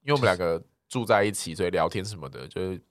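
A man's voice: deep voice, expressive, very fast, no room sound. A man with a Taiwanese accent chatting casually as a podcast co-host, with a teasing, colloquial manner. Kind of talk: casual chat.

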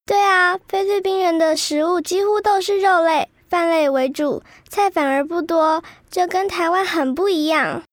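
A woman's voice: very high voice, normal speed, hardly any pauses, no room sound. A woman playing a chatty, cheerful schoolgirl in an educational radio drama for children. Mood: eager, chatty. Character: chatty cheerful Filipino-Taiwanese schoolgirl, proud of Philippine food.

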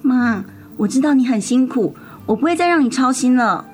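A woman's voice: high voice, expressive, moderate pace, a little mellow. A woman acting a caring but sassy teenage elder sister in a radio drama, youthful, pert and protective. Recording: music under the voice.

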